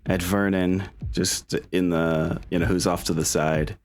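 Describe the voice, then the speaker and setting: A man acting as game master, chatting and narrating casually, haltingly thinking aloud as he goes. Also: deep voice, level tone, a little mellow. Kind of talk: casual tabletop roleplay narration and chat.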